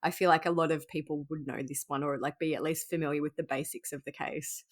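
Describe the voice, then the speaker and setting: A woman with a low-pitched voice co-hosting a true crime podcast. She is giggly and conversational and often laughs between points. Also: steady tone, fast. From Australia. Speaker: podcast co-host.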